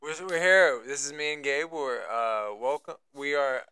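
Young man, naturally loud voice, bright and sharp, clear, casual swearing, filler-heavy. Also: mid-range voice, a little slow, dry recording. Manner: energetic, loose, swears a lot, filler-heavy. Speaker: podcast host. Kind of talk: casual chat. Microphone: podcast microphone.

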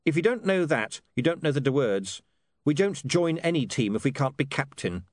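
A man's voice: fairly high pitch, sing-song, moderate pace, no echo, pitched above the performer's own voice. A man voicing an earnest, bitter young man of privileged birth in an audiobook, with a clipped, emphatic way of talking. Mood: flat, bitter.

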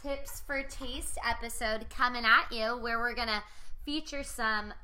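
A woman's voice: on the high side, fairly even intonation, a little slow. A woman co-hosting a casual podcast, chatting upbeat and running through lists of seasonal produce. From California. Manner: upbeat, lists items in a bright chatty run. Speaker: podcast co-host. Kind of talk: casual chat.

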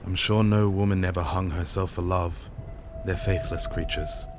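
A man's voice: sits low, steady tone, normal speed, noisy background, lightly acted. A man voicing a nervous, skeptical young landsman with bookish, formal speech in a storybook reading. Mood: bitter. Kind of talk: audiobook character dialogue.

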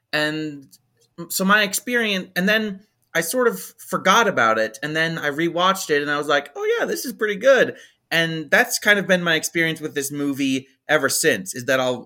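Man, twenties, podcast co-host, rambling, filler-heavy, sharp bright tone. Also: a little high. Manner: rambling, hesitant, many fillers. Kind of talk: casual movie review chat.

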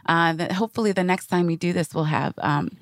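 A woman's voice: lowish voice, brisk, no echo, studio quality. A woman hosting a podcast in casual, slangy chat with a friend, full of "like" and "you know" and often laughing. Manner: laughs often while talking. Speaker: podcast host.

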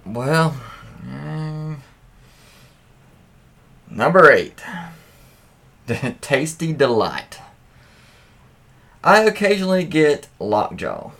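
Slow-paced man, casual and profane storyteller. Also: on the high side, sing-song, creaky, fairly bright tone. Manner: informal, profane, reacts with interjections. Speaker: podcast host. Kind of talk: casual read-aloud of anecdotes with offhand commentary.

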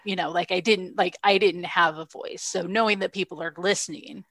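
A woman's voice: fairly low pitch, fairly even intonation, fairly quick, fairly bright tone, no room sound. A woman co-hosting a podcast, talking in a reflective, earnest way with frequent restarts. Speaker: podcast co-host. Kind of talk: casual chat.

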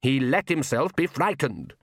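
A man's voice: mid-range voice, animated, unhurried, no room sound, studio quality. Man playing a pompous, domineering vampire count. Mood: smug, calm. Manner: pompous, lecturing. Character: supremely confident, domineering older male vampire count and father.

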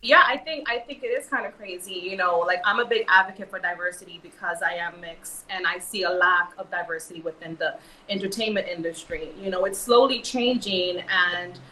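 A woman's voice: on the low side, a little fast, bright, forceful tone, recorded from a distance, slight background noise. Earnest New York woman, echoey room. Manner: earnest, filler-heavy, emphatic. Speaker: model and actress guest. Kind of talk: casual panel discussion.

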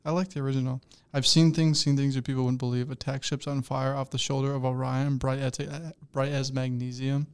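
A man's voice: mid-range voice, little pitch movement, smooth. A young man co-hosting a casual podcast, teasing his friend and quoting movie lines. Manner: teasing, quick, playful. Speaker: podcast co-host. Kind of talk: casual chat.

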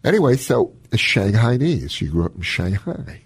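A man with a low, rough-edged husky voice co-hosts health radio. His pitch swings widely as he tells folksy anecdotes, and the studio sound is close and dry. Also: sing-song, moderate pace, no echo. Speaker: radio health show co-host. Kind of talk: casual talk and anecdotes.

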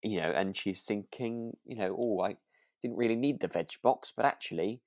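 Low-voiced man, hesitant, close dry sound. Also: moderate pace, a little mellow, no room sound. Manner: hesitant, self-correcting, thinking aloud. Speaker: podcast co-host. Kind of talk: relaxed chat reviewing a radio drama.

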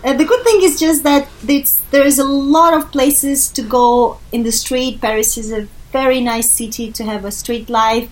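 A woman, a Russian speaker. She talks as a podcast guest in a casual interview, informal and animated in her delivery. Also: high-pitched, moderate pace, few pauses, low-quality recording.

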